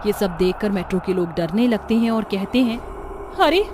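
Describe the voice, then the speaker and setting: A woman narrating a scripted cartoon horror story in a steady, dramatic storytelling manner. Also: medium pitch, brisk, background noise.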